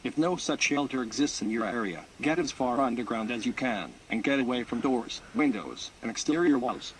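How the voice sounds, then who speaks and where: A man acts as a solemn announcer for an emergency broadcast. He delivers scripted instructions formally, in a medium-pitched voice with clear noise in the recording. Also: moderate pace, natural voice. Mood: grave, official. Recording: noisy broadcast-style recording.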